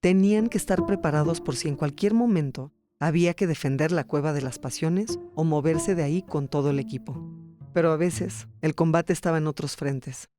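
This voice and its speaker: Higher-voiced male documentary narrator over music. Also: moderate pace. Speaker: narrator, podcast host. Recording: music bed behind narration.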